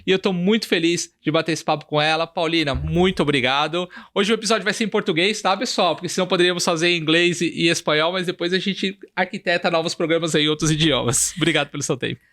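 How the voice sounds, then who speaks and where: Fast-talking male talk show host. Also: on the high side, fairly bright tone. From Chilean family. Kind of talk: casual interview chat.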